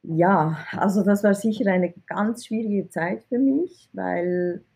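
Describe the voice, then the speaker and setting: A woman speaks in a mellow, somewhat husky voice. Her answers are candid and she restarts words as she searches for them. She tends to end her points with a questioning tag. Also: mid-range voice, slow pace. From Iran. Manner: candid, repeats words when searching, ends points with "oder?". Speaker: IT recruitment consultant. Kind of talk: interview answers.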